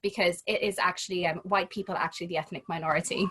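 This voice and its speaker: A woman from Trinidad and Tobago, a guest speaking in a relaxed interview and often restarting her phrases as she thinks. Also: fairly low pitch, fast, breathy edge. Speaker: guest, home educator. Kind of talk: casual conversational interview.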